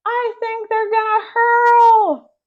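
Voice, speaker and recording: A woman voicing an excitable, anxious schoolboy sidekick in a children's storybook. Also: very high-pitched, takes it slowly, phone-quality sound, pitched above the performer's own voice. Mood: panicked.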